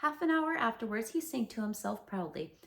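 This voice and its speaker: A woman reads a children's storybook aloud in a lively, sing-song way, her pitch swooping widely. Her voice sits fairly high with a slight gravelly fry. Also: moderate pace. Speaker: read-aloud narrator.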